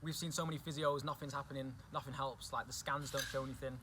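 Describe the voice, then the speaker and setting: A man's voice: medium pitch, steady tone, quick pace, noisy background. A young man with an English accent, chatting casually as a guest and often repeating himself. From England. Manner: chatty, repeats himself, says "do you know what I mean". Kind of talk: casual chat.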